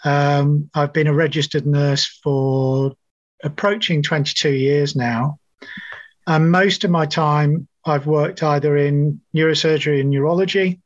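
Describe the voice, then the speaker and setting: A middle-aged man with a New Zealand accent, a nurse guest telling his story in a measured, thoughtful way. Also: on the high side, no room sound, dull audio. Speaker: interview guest, registered nurse. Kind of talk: conversational interview storytelling.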